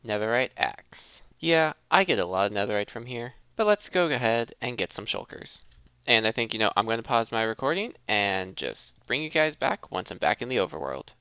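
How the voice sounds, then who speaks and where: A man's voice: medium pitch, sing-song, normal speed, sharp tone, smooth, dry recording. A man hosting a gameplay video, thinking aloud in a casual commentary addressed to his viewers. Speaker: host of a gaming let's play series.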